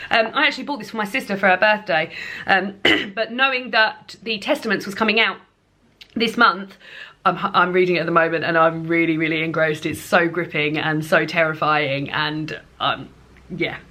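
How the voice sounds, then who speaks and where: A woman's voice: lowish voice, normal speed, sharp tone. A British woman giving casual, rambling book reviews to viewers, repeating words for emphasis.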